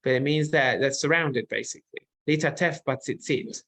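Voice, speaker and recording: A man's voice: medium pitch, normal speed, a bit bright. A man teaching a text-based Torah class. He reads Hebrew passages aloud, translates them, and explains them as he thinks aloud. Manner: thinking aloud, with frequent fillers and restarts.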